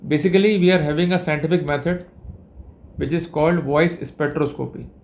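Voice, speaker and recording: A man with an Indian accent giving a formal instructional lecture on his own, in deliberate, measured phrases with short pauses. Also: fairly high pitch, steady tone, vocal fry. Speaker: legal educator presenting a lecture.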